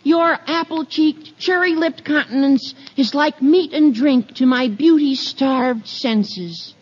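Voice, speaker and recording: An actor playing an eager teenage schoolboy who gushes florid flattery in a 1950s radio comedy. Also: male voice, extremely high pitch, slow, lo-fi sound. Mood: gushing flattery. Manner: florid, gushing, drawn out. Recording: vintage 1950 radio, audience, some noise.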